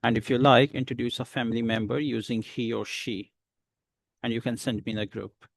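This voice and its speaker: A man teaching a beginner lesson, explaining slowly and patiently with many pauses. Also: medium pitch, little pitch movement, gravelly, dry recording. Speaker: English teacher.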